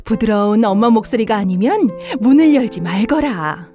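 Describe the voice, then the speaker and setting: Storybook mother goat, female actor: gentle, caring, soft warm tone, faint music underneath. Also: on the high side, expressive, normal speed, close to an everyday voice. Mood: caring, cautioning. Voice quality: soft, tender. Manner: motherly, instructing. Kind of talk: children's fairy-tale acting.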